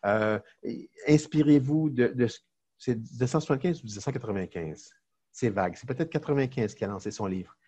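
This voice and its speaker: Man, Québécois accent, middle-aged, lively storyteller, many fillers. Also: medium pitch, brisk, slightly rough. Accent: Québécois French. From Quebec, Canada. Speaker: lawyer and entrepreneur, podcast guest. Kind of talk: casual interview chat.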